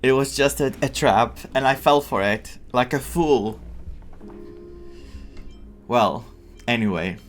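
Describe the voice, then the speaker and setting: A man's voice: mid-range voice, slow pace, long pauses. A man giving casual playthrough commentary as a game streamer, sometimes reading dialogue aloud. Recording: game music faintly behind.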